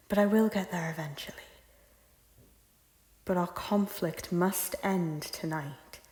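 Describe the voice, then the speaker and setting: A woman's voice: low-pitched, a little mellow, breathy edge, exaggerated voice. A woman playing a repentant former temptress, an earnest and defiant noble lady speaking formally in a theatrical audio drama. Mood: pleading, resolute. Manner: formal, archaic, impassioned speech. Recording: roomy echo, music underneath. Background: music.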